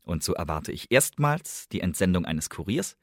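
An actor playing a guarded secret agent posing as a student, giving measured, formal first-person reports in a radio drama. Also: male voice, low-pitched, big pitch swings, dry recording, clean studio sound, full character voice. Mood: businesslike. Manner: measured, formal, precise, deliberate phrasing.

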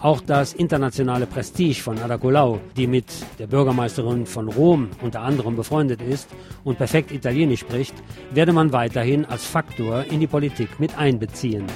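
A man's voice: medium pitch, brisk. A man reading scripted radio news and commentary in a wry, sardonic manner. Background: guitar music.

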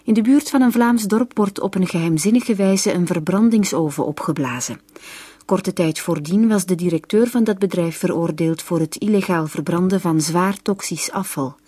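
Husky, low-voiced woman announcer. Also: moderate pace, mellow, dry recording. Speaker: radio announcer. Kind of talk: scripted narration, introducing a radio play. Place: dry studio.

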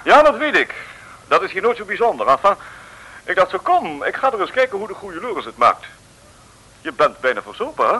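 High-voiced blustery, jovial colonel. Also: male voice, wildly swooping pitch, brisk, sharp tone, heavily acted, poor audio. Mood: breezy. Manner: jovial, patronising, repeats himself. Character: jovial, blustery male colonel, breezy and tactless. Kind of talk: 1950s radio serial acting.

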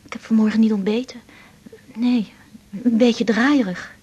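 An actress playing an anxious schoolgirl patient in a naturalistic radio drama, speaking timidly and haltingly. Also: on the high side, steady tone, mellow, husky, no echo, dull audio. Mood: anxious, subdued.

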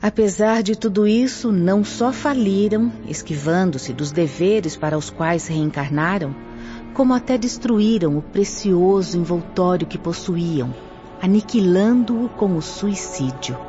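An actress playing a stern yet compassionate spirit instructor in a Brazilian radio drama, with solemn, emphatic diction and a Brazilian accent. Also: female voice, lowish voice, unhurried, natural voice. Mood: stern, reproachful. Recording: muffled audio with faint music.

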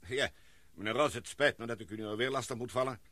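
Dude doing an older posh gentleman, calm and in charge, polite, radio play. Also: mid-range voice, fast talker, dry recording. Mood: courteous. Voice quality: calm, commanding, courteous. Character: older authoritative English gentleman, calm leader.

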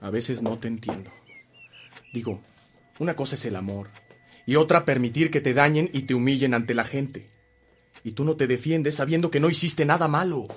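An actor playing a priest's loyal, concerned brother in a radio drama, speaking earnestly. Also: male voice, medium pitch, moderate pace, pauses often, a little hiss or hum, lightly acted. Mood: frustrated, affectionate.

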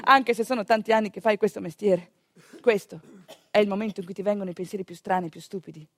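A woman with a northeastern Italian accent telling a theatrical monologue in an expressive, conversational manner. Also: medium pitch, quick pace, no echo. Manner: expressive, conversational, with rhetorical questions and asides. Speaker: stage actor and storyteller.

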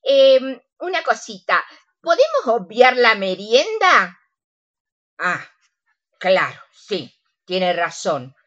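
Actress as a high-voiced, giddy older Argentine woman. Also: big pitch swings, slow, long pauses, fairly bright tone, lo-fi sound, full character voice. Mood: nervous, eager. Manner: hesitant, flustered, polite. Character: giddy, daring older woman, nervous and tipsy. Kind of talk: comic radio play acting.

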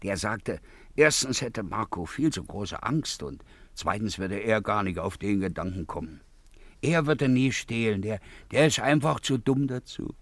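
A needling companion who pretends to soothe, in a 1950s radio drama. His voice has a gravelly creak and swings widely in pitch. He talks colloquially and repeats himself, recorded very dry. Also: lively intonation, unhurried, no room sound. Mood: needling, mocking. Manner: colloquial, drawling interjections, repeats words. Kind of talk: 1950s German radio play acting.

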